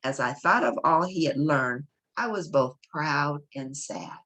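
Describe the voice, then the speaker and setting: A woman's voice: deep voice, animated, measured pace, fairly bright tone. A woman reading a children's novel aloud as a storybook narrator. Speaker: storybook reader.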